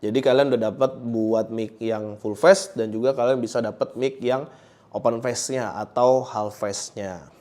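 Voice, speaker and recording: A man's voice: mid-range voice, steady tone, normal speed, a little mellow. A man with a Jakarta Indonesian accent giving a casual product review, speaking colloquially and straight to viewers, often repeating his phrases. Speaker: motorcycle gear reviewer and host.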